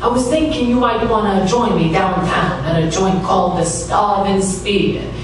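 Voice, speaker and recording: A man's voice: sits high, moderate pace, recorded from a distance, slight background noise, close to an everyday voice. A man playing an impatient, hard-boiled private eye in a comic noir radio play. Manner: urgent, exasperated. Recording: very echoey, off-mic, music behind.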